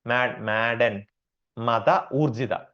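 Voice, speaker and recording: A man with an Indian accent teaching a class on Sanskrit verses, repeating each term slowly with many fillers. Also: mid-range voice, a bit bright, dull audio. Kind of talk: explanatory class lecture.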